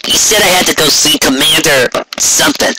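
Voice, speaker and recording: Man voicing a brash, higher-pitched young pony mare. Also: moderate pace, very noisy, natural voice. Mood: impatient, brash. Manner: casual, hesitant, impatient. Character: brash, impatient young female pegasus pony.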